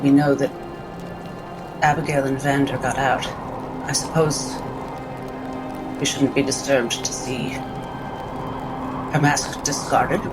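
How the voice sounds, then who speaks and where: A man's voice: mid-range voice, level tone, slow, pauses often, low-quality recording. A man playing a tabletop roleplaying game, speaking in character with hesitant, rather formal wording. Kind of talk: measured in-character roleplay talk. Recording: music behind the voice.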